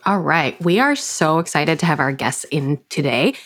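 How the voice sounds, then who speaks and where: Low-voiced woman podcast host. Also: big pitch swings, normal speed, a bit bright. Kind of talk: casual interview chat.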